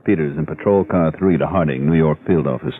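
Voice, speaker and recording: An actor playing a patrol-car agent giving terse, clipped reports over the radio in an old crime drama. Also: male voice, very deep voice, fairly quick, soft background music. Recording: tinny radio broadcast.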